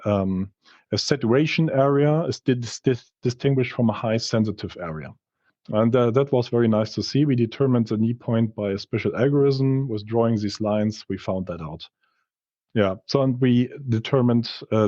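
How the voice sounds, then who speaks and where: A man who speaks English as a second language gives a technical research presentation in a halting, explanatory way, correcting himself as he goes. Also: mid-range voice, dark tone, no room sound. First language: not English. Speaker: researcher presenting study results. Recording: slightly dull, dry lo-fi audio.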